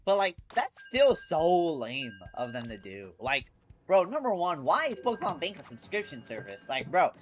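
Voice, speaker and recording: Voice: medium pitch, sing-song, normal speed. A congested livestream host chatting casually about games, slangy and sweary, often repeating and restarting phrases. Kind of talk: casual gaming livestream chat. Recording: music behind the voice. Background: music.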